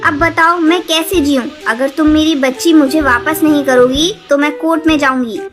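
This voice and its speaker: Woman as a cartoon pigeon sister, high voice, direct, emphatic, music underneath. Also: steady tone, moderate pace, lightly acted. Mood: demanding, upset. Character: outspoken female pigeon, determined younger sister. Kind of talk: Hindi children's cartoon story acting.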